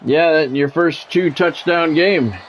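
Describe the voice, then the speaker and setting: A man's voice: fairly high pitch, slow, a little hiss or hum, poor audio. A man doing football play-by-play as a game streamer, in short clipped announcer-style calls mixed with casual chat to viewers.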